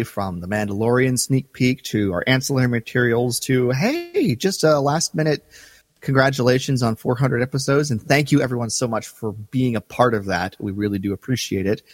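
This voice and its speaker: A man's voice: mid-range voice, no room sound, clean studio sound. A man co-hosting a fan podcast, chatty and upbeat, often chuckling. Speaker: podcast co-host. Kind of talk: casual podcast chat.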